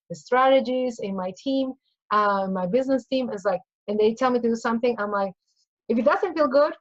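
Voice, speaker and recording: Peruvian-accented woman, earnest, lo-fi sound. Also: fairly high pitch. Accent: Peruvian Spanish. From Peru. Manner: earnest, repeats phrases, acts out inner voices. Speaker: guest coach. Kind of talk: casual interview chat.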